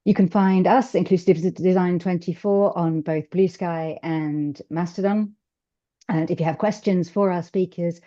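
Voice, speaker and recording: A woman organizer reading brisk scripted announcements to open a conference session. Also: sits low, dark tone, muffled recording.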